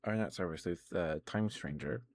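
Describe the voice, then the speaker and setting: A man with a notably low-pitched voice hosts a casual ASMR livestream. He talks in a loose, meandering way, often circling back to correct himself. The delivery is informal gamer chat, unscripted and conversational. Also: moderate pace, slight background noise. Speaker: livestream host. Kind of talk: casual rambling ASMR stream chat about video games.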